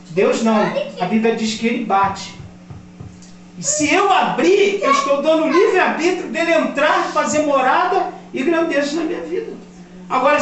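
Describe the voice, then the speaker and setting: A man's voice: very high voice, distant mic. A fifty-something male pastor preaches in a church, his voice ringing through a very echoey hall from some way off the mic. A congregation murmurs along behind him. His delivery is impassioned and rises in force on key points. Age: 50s. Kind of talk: sermon. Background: congregation responding.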